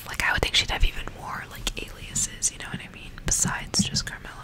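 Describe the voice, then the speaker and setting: A woman whispering in a breathy, unvoiced hush, as an ASMR host reading aloud in an unhurried way. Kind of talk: whispered ASMR audiobook reading with casual asides.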